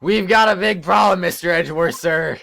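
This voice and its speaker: A man voicing an eager, flustered grown male detective sidekick in a video game, with a high, shouty delivery. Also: brisk, creaky, full character voice. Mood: alarmed. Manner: high-pitched, shouty, snaps to attention. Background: game music.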